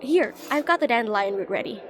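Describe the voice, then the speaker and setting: A woman as a high, lively, flustered young witch student. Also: fairly quick, natural voice. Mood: warm, chatty. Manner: flustered, hesitant, chatty and self-deprecating. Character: shy, rambling young witch student in potions class. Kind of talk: slice-of-life fantasy audio roleplay. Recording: voice over busy classroom crowd ambience.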